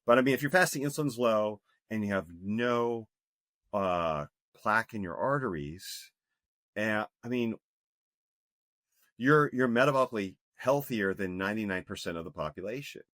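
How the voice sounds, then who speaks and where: A man's voice: on the low side, lively intonation, normal speed, fairly bright tone, dry recording, clean studio sound. A man in his fifties, a health coach speaking earnestly and emphatically as a podcast guest, with frequent restarts. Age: 50s. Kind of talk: podcast interview.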